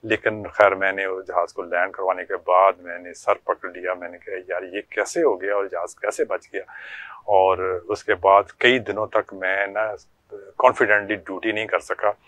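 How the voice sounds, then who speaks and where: A man's voice: low-pitched, level tone, moderate pace, a bit bright, no echo. An older man with a Pakistani accent telling stories from his working life as an interview guest, in a conversational way with reported dialogue. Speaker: guest, former air traffic controller.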